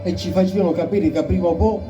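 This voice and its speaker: A man's voice: sits high, steady tone, quick pace, distant mic, highs cut off, music in the background. A man with a Sicilian accent giving a solemn, declamatory recitation of a dramatic poem. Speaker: poetry reciter.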